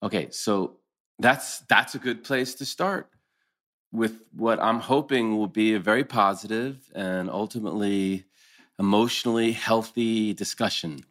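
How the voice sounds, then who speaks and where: A man's voice: lowish voice, measured pace, a bit bright. A man playing a conciliatory, wry, earnest middle-aged husband in a TV drama table read.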